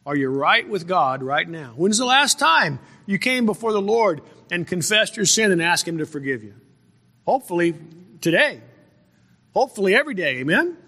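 Bright, forceful male preacher in a hall. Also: high-pitched, gravelly. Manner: halting and repetitive, with emphatic rhetorical questions. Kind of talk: sermon, verse-by-verse Bible exposition. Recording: reverberant hall with long echo.

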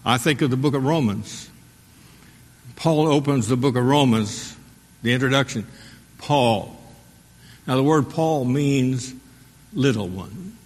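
A man preaching a sermon as pastor, asking the congregation rhetorical questions and pausing for their response. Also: medium pitch. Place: church sanctuary. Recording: long hall reverb.

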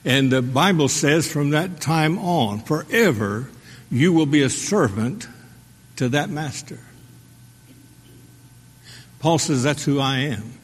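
A man preaching a sermon as pastor, asking the congregation rhetorical questions and pausing for their response. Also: fairly high pitch, expressive, slightly rough. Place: church sanctuary. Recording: long hall reverb.

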